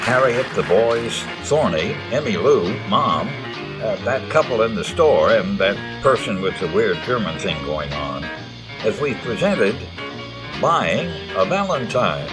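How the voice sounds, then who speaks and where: A man's voice: lowish voice, sing-song, a little slow, rough recording. A man serving as announcer, reading the closing of a radio comedy show. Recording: music and audience noise behind. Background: guitar music, crowd.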